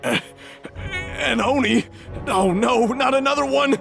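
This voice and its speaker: A jittery robbed merchant. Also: male voice, very high-pitched, very animated, takes it slowly, exaggerated voice. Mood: panicked. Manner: nervous, stammering, anxious. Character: jittery middle-aged male merchant, shaken robbery victim. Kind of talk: game voice acting. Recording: game audio with clear music.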